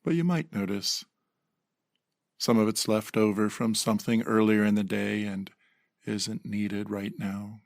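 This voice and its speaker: Male meditation guide, lowish pitch with vocal fry, gentle delivery with pauses. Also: steady tone, dry recording, studio quality. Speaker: meditation teacher.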